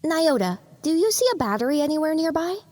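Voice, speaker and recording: A woman's voice: sits high, lively intonation, fast talker, darkish tone, voice pushed up. A woman playing an earnest, practical young pony mechanic, talking conversationally in an audio drama. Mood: practical, focused.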